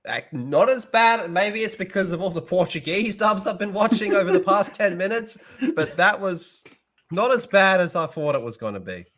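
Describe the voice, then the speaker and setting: A man with a bright, somewhat high voice whose pitch swings widely as he jokes. He gives blunt opinions in a casual podcast chat. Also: moderate pace, slight background noise. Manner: animated, jokey, blunt. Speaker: podcast co-host. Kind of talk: casual chat reacting to anime dubs.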